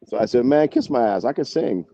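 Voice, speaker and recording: A man's voice: medium pitch, lively intonation, brisk, dark tone, no echo, lo-fi sound. A man talking casually as an interview guest, a singer telling stories in a rambling, slangy, salty way. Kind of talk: casual interview chat, anecdotes.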